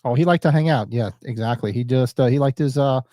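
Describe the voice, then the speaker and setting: A man's voice: medium pitch, quick pace, warm tone, no echo, studio quality. Sniffly man hosting a podcast. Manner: rambling, laid-back, lots of fillers. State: sniffly from allergies. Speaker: podcast co-host. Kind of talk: casual podcast chat.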